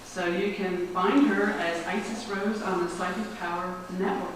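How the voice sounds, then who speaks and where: A woman's voice: on the low side, steady tone, unhurried. A woman directing a society, welcoming an audience and introducing the evening's speaker, with frequent hesitations and fillers. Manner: reads a biography with hesitations and fillers. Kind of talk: formal introduction. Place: meeting room before an audience. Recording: echoey room, mic far off, background hiss.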